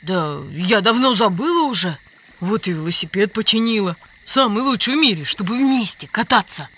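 A woman's voice: medium pitch, expressive, normal speed, fairly bright tone, slight background noise, natural voice. A woman voicing a breezy, forgiving animal best friend in a cartoon, with a direct manner. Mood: earnest.

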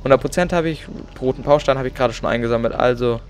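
A man's voice: medium pitch, fast, a little hiss or hum. A young man casually commenting on his own gameplay, chatty and joking. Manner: chatty, joking, mixes in playful English. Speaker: let's play gamer.

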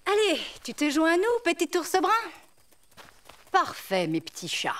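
A woman voicing a cheerful, gentle bear nanny in a preschool cartoon, with encouraging, singsong delivery. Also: very high-pitched, huge pitch swings, distant mic, heavily acted. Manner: encouraging, singsong, drawn-out instructions.

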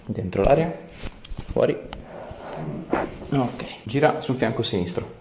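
A man, a chiropractor giving a patient short, gentle instructions during treatment. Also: medium pitch, expressive, takes it slowly, creaky. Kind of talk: hands-on patient instructions, ASMR treatment video. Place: small indoor treatment room. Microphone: small clip-on mic on his scrubs. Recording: echoey room with background noise.

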